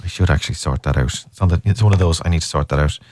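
A man's voice: extremely low pitch, rapid-fire, darkish tone, no echo, studio quality. Rambling radio host man. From Ireland, Cork. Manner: rambling, jokey, self-interrupting. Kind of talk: casual radio chat. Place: radio studio. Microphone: studio microphone.

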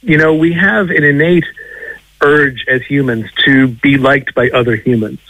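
A man's voice: medium pitch, measured pace, fairly bright tone, no echo, poor audio. A man with an American accent tinged with Irish, explaining things conversationally as an academic guest, in a hedging, discursive way. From Boston, United States. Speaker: linguistics professor, radio guest.

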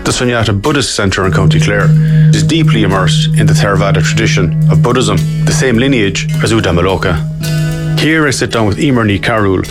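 A man reads a documentary introduction in a measured, scripted way. His voice sits on the low side, with loud plucked guitar music playing underneath. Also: lively intonation. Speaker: documentary presenter. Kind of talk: scripted narration.

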